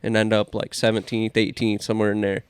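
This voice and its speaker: A man riffs with his co-hosts in relaxed, rambling podcast banter. His voice comes across clear and smooth. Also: lowish voice, fast talker. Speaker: podcast co-host. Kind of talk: casual chat.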